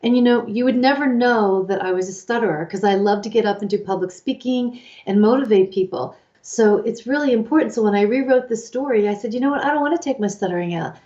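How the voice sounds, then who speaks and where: A woman in her fifties co-hosting a casual advice chat, explaining ideas in an animated way and acting out the inner voices she quotes. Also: fairly high pitch, quick pace, hardly any pauses, highs cut off. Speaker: co-host, life coach.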